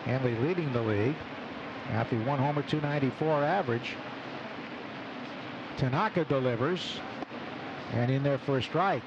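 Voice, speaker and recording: A man doing live radio baseball play-by-play, calling the action in clipped phrases between pauses. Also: medium pitch, muffled recording. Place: open broadcast booth at outdoor ballpark. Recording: noisy outdoor ballpark broadcast. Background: loud stadium ambience.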